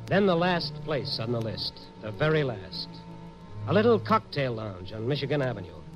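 An actor playing an urgent, world-weary American newspaper reporter in clipped 1950s radio drama style. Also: male voice, medium pitch, very animated, moderate pace, natural voice. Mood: tense, weary. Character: hard-boiled Chicago newspaper reporter, urgent and impatient. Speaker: lead actor and narrator. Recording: muffled old radio broadcast, music behind.

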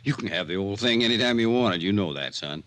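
A man's voice: lowish voice, expressive, fast, fairly bright tone, dry recording. An actor playing a folksy, fatherly small-town sheriff with colloquial phrasing in a 1950s radio drama. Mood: easygoing, fatherly.

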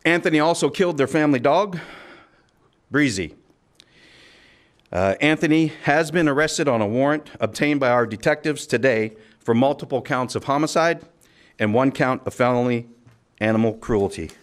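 A man speaking as a sheriff at a press conference, delivering a formal statement in a measured, official, occasionally halting way. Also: mid-range voice, animated. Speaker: county sheriff.

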